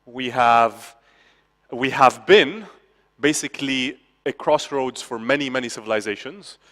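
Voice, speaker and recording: A man gives a conference talk in a casual, chatty way. He checks in with the audience and restarts his sentences often. His voice sits at a middle pitch, and a long hall reverb trails behind it. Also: unhurried, fairly bright tone. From Lebanon. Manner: conversational, frequent "okay?" asides and restarts. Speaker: conference speaker.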